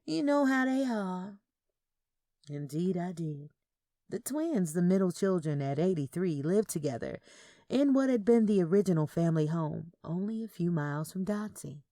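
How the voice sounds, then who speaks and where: Lowish-voiced woman narrating fiction, dry close sound. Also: expressive, moderate pace, dark tone, no room sound. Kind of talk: first-person dramatic story narration.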